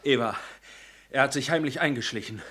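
A man's voice: medium pitch, a little fast. A man acting a stern young resistance leader who interrogates and commands, in a radio drama. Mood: commanding. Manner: commanding, interrogating, shouting in bursts. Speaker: radio play actor.

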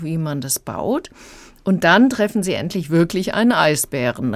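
A woman's voice: sits low, no room sound. A woman giving a radio review of children's audio, retelling and judging it in plain, explanatory words.

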